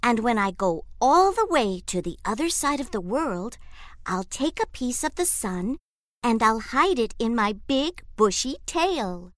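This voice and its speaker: A woman's voice: a little high, big pitch swings, a little slow, no room sound, studio quality. A woman voicing a small, shy male possum who stammers timidly in a told story.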